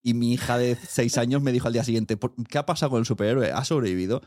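A man's voice: medium pitch, fast talker, darkish tone, no room sound. Spanish man, casual talk, dry sound. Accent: Spain Spanish. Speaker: podcast interviewer. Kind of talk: casual conversational interview.

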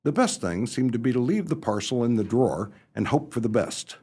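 Radio comedy lead: male actor as breezy young upper-class gent, easily rattled, swooping pitch, slightly husky. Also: lively intonation, a little fast, darkish tone. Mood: breezy narrating. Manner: breezy, slangy, exclamatory. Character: excitable, rattled young upper-class English gentleman narrator. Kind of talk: first-person radio comedy narration and dialogue.

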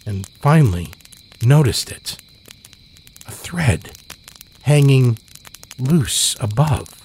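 A man's voice: medium pitch, darkish tone, breathy edge. A man reading scripted narration as a storyteller and podcast host.

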